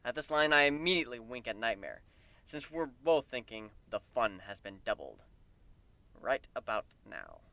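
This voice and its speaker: A man giving an animated first-person dramatic reading of a fan fiction story. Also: medium pitch, moderate pace, creaky, no echo. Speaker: amateur story reader.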